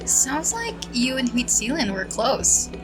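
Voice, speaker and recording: Woman gamer, lively swinging pitch, playful casual commentary, game music clearly behind. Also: measured pace, rough recording. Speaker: gamer doing a playthrough. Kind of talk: casual gameplay commentary, reading dialogue aloud.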